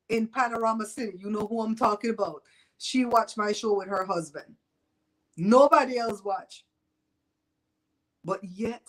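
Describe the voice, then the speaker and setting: A woman's voice: a little high, fairly bright tone, low-quality recording. Blunt Belizean woman chatting live. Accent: Belizean Creole. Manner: blunt, gossipy, laughs often, Creole-flavoured speech. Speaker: host of a live show. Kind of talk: casual chat with live viewers.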